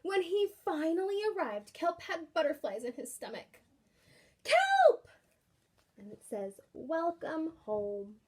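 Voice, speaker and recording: A woman reading a children's picture book aloud expressively, pausing to put questions and asides to young listeners. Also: high voice. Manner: animated, engaging with questions.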